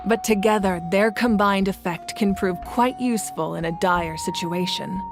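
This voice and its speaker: A woman playing a calm, wise leader of warriors in a video game, speaking in a measured, formal and reassuring way. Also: mid-range voice, natural voice. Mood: composed, serious. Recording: music behind the voice.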